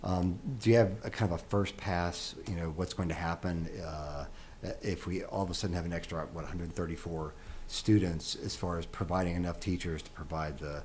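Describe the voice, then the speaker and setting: A man on a school board asking halting questions, with many restarts and fillers. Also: low voice, steady tone, a little mellow, a little hiss or hum.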